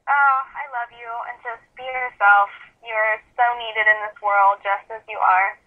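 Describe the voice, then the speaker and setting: A woman's voice: a little high, steady tone, a little slow, narrow, tinny audio. A woman chatting casually and giggly as an interview guest. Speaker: interview guest, singer-songwriter. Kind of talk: casual, friendly interview chat.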